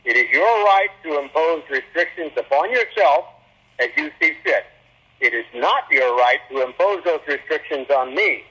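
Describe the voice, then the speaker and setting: A man with a fairly high, forceful voice and a husky edge chairs a public meeting. The sound is dull and lo-fi. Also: animated, normal speed. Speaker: board chair. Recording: dull, muffled teleconference audio.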